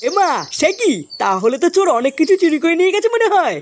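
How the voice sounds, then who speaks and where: Casting: female actor as inquisitive, earnest male villager for comic cartoon, brisk and animated delivery. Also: very high voice. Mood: curious.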